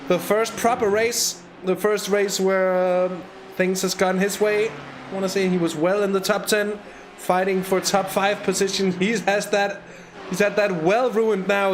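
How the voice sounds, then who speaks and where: A man calls a sim race live into a USB microphone. His voice sits a little high for a man, and he talks in a loose, chatty way full of ums and false starts. Faint background hiss lies under the voice. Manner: chatty, hesitant, frequent fillers and restarts. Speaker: sim racing commentator.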